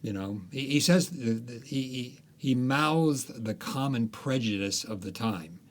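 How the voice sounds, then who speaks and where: A male author, interviewed as a guest, recounting history and his book's plot in a storytelling way, with frequent pauses and fillers. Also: mid-range voice.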